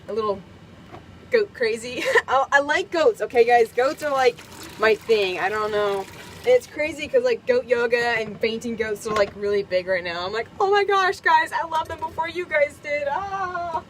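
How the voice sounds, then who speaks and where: A woman's voice: fairly high pitch, a bit bright, a little hiss or hum, low-quality recording. A young woman from Kentucky, chatting casually while cooking in her camper. She is bubbly and giggly and fills her talk with "like" and "um". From Kentucky, USA. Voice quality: bubbly, giggly, lots of fillers. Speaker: vlogger. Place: camper kitchen.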